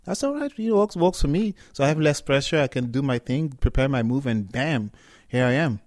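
A man's voice: medium pitch, expressive, a little fast, a little mellow, no room sound, studio quality. A man from Cameroon, a startup founder, answering interview questions candidly with hesitant restarts.

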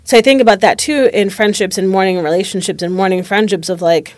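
Woman, late twenties, mid-pitched voice, casual and reflective, candid, says "like" often, close dry sound. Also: fairly even intonation, fast talker, no room sound. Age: late 20s. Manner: reflective, candid, heavy use of "like".

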